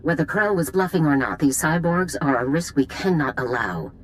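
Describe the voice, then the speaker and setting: A stern, commanding general. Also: female voice, deep voice, expressive, moderate pace, a little hiss or hum. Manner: clipped, authoritative, unbending. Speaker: army general. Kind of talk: video game cutscene acting.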